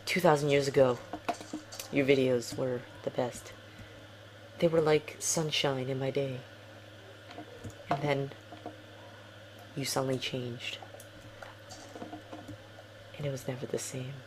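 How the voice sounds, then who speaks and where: Guy putting on a soft mournful storyteller, all quiet and drawn out like a sad fairy tale. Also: medium pitch, flat delivery, noisy background, close to an everyday voice. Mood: melodramatic. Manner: hushed, drawn-out, melodramatic.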